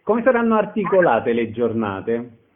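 A man's voice: mid-range voice, very animated, unhurried. Male radio host, telephone-like sound. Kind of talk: radio interview hosting.

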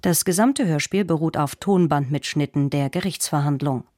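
A woman's voice: low-pitched, moderate pace, a little mellow, dry recording. A woman radio presenter reading a scripted introduction at a close studio microphone.

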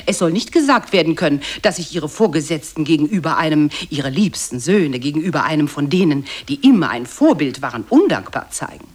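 An actress playing an expansive narrator of a satirical office tale, speaking in rolling, grandiloquent sentences. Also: female voice, low voice, sing-song, fairly quick, hardly any pauses, a little mellow, slightly rough, exaggerated voice. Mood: grandiose. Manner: grandiloquent, rolling long lists. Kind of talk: scripted radio play narration addressed to "you".

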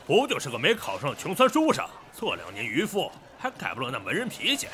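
A man's voice: medium pitch, wildly swooping pitch, fairly quick, sharp tone, slight background noise. A man playing a brash village fishmonger in a period audio drama, jeering and needling in colloquial speech.

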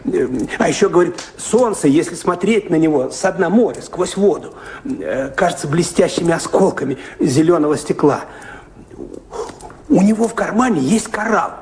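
Chattering, deferential male servant, higher voice. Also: expressive, normal speed, darkish tone, a little hiss or hum. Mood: wondering, excited. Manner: hesitant, rambling, servile, with frequent little fillers. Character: deferential, talkative servant at a castle, naive and awed. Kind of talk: radio play acting.

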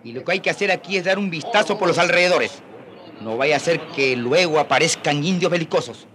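High-voiced commanding conquistador captain. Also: male voice, animated, a little fast. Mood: firm. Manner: barking orders, forceful. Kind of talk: radio drama acting.

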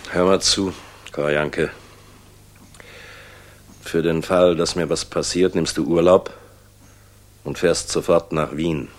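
A man's voice: low voice, fairly even intonation, slow, long pauses, slight background noise. A man acting a weary, hard-driven general in a 1960s German radio drama, terse, urgent and wry. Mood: gruff, concerned.

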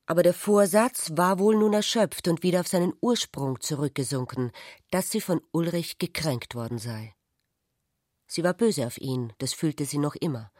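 An actress narrating a literary radio drama as a troubled, wounded woman, measured and introspective. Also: low-pitched, lively intonation, darkish tone, no echo, studio quality. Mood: pensive.

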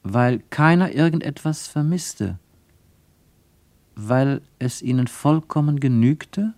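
A man reading scripted literary narration for a radio play, measured and wryly ironic. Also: mid-range voice, darkish tone, no room sound.

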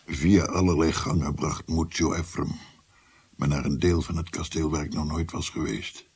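An actor playing a shaken old grandfather who recounts a haunting memory in a dramatic, halting radio play narration. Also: very deep voice, steady tone, darkish tone, a little hiss or hum. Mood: grave, haunted. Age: older. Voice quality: husky, gravelly.

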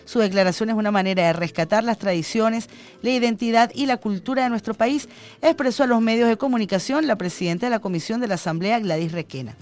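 A woman's voice: a little high, fast talker, darkish tone. A middle-aged Venezuelan woman hosting a radio show, reading news and chatting about her own trips with fillers. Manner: chatty, personal anecdotes with fillers. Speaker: radio host. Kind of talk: radio news and call-in chat.

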